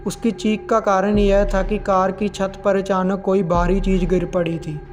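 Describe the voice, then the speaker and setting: High-pitched, even-toned male horror storyteller. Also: unhurried, a little hiss or hum. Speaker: horror story narrator and channel host. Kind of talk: scripted narration of horror stories.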